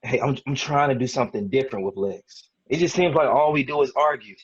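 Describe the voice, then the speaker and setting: A man's voice: medium pitch, brisk, husky, muffled recording, lightly acted. A man playing a defensive, frustrated young husband in a dramatic table read, arguing in a colloquial way. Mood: subdued, reflective. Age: young adult. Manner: argumentative, colloquial.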